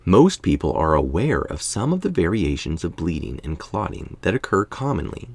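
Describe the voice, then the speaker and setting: Casting: tired man, middle-aged, low creaky voice, informal article reader who drops in offhand remarks. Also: sing-song, moderate pace, a little mellow, no echo. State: tired late at night. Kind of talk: reading an article aloud with casual asides.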